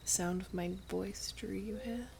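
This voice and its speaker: A woman playing an ageless, gently teasing, wistful elven maiden in an ASMR roleplay, speaking softly and half-whispered with archaic, unhurried phrasing. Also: medium pitch, steady tone, slight background noise, natural voice. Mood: teasing, curious. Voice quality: soft, breathy, half-whispered. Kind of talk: ASMR roleplay, slow intimate storytelling.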